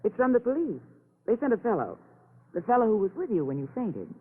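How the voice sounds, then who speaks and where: An actress playing a shrewd, protective, sardonic lawyer with an arch, commanding manner in a vintage radio crime drama. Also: female voice, mid-range voice, big pitch swings, a little fast, breathy edge. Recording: narrow-band old radio broadcast.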